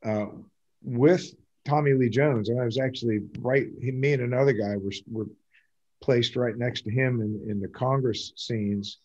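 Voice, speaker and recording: Older american guy on a video call, kinda rambly and halting, modest about himself, normal pitch. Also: fairly even intonation, darkish tone, lo-fi sound. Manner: rambling, halting, self-deprecating. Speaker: community theater actor. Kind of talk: casual chat at an online talkback. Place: indoors at home on a video call. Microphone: video call microphone.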